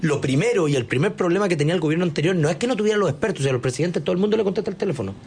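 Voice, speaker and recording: Chilean talk host, fast delivery, steers the panel, faint background hum. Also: male voice, a little high, animated, a little mellow. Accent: Chilean Spanish. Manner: quick, steering the discussion. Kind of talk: political talk show.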